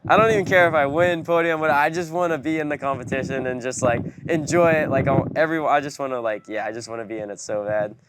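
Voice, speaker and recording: A young man from Hawaii talks easily as an interview guest. He drops in lots of casual fillers. The recording has steady background noise. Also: mid-range voice, quick pace, few pauses. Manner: laid-back, many fillers like "you know" and "like". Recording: noisy background.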